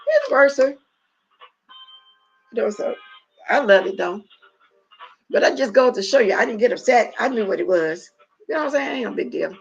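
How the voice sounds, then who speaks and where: A gravelly, raspy middle-aged woman chats to her livestream viewers in a blunt, opinionated way. Her voice has a lot of fry. The audio is dull and lo-fi. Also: fairly high pitch, lively intonation, normal speed, pauses often, soft background music. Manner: blunt, opinionated, talks straight to her chat. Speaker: livestream host. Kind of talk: casual livestream chat.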